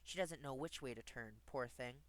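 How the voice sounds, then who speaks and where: A man's voice: medium pitch, moderate pace, close to an everyday voice. A man voicing a polite, dutiful cat maid who speaks in storybook rhymes.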